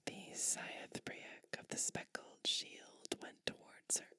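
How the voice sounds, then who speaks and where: Woman doing asmr bedtime story, all whispered, really slow n gentle. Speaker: ASMR host playing a sleep witch.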